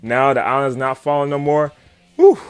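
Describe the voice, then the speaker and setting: A man giving a casual, excited comic recap, full of slang, swearing and chuckles. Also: mid-range voice, normal speed. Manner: slangy, swearing, often chuckling hype talk. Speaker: YouTube comic commentator. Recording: background music under voice. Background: music.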